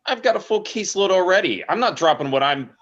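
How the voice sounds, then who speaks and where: An actor playing a hot-tempered older investigator, aggrieved and grumbling, in a screenplay table read. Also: male voice, sits high, lively intonation, quick pace, a bit bright, dull audio. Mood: defiant.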